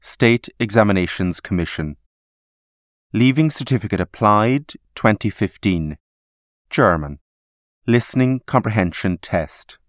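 Brief: man, exam announcer, measured reading, lowish voice with lively pitch, dry studio sound. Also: dry recording. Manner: measured, formal delivery. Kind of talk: formal recorded announcement.

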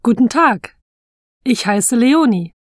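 Brief: young woman, exam dialogue voice, slightly high, slow and careful, dry studio. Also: lively intonation, no room sound. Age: 20s. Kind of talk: scripted language-exam dialogue.

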